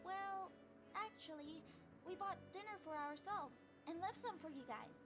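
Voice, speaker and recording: A woman's voice: very high voice, a little slow. A woman voicing a sweet, cheerful, bubbly young anime woman in a visual novel. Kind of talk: visual novel character acting. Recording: game audio under loud music.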